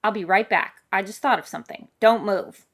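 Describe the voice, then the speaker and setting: A woman's voice: medium pitch, fairly quick, a bit bright. A woman voicing an exasperated, caring male neighbor with an emphatic, impatient manner in a story reading. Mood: decisive. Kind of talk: audiobook character voice.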